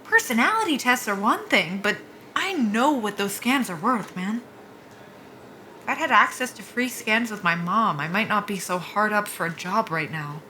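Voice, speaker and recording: Wry young woman pilot, bright voice. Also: medium pitch, lively intonation, normal speed, a little hiss or hum, rough recording. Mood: wry, weary. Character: wry, down-on-her-luck young female military pilot. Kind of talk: naturalistic audio drama acting.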